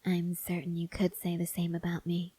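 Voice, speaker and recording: Female actor for reserved young soldier woman, tender with her mate: low-pitched, very even delivery, soft half-whispered ASMR roleplay. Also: moderate pace, slightly rough. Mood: hushed, reluctant. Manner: hushed, hesitant, gently reserved. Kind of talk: ASMR roleplay, intimate scripted monologue.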